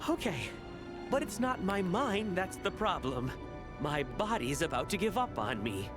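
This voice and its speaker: Game role: exhausted, doubting survivor, very slow, highish voice, clear music behind. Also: male voice, big pitch swings. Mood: weary doubt. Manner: weary, halting. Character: worn-out, doubting male survivor near collapse. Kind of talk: video game voice acting.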